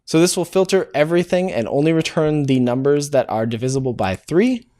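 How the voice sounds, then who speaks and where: A man's voice: on the high side, fairly quick, dark tone. A man hosting a programming tutorial, talking casually as he codes, thinking aloud, hesitating and correcting himself with many filler sounds. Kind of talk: casual live-coding walkthrough.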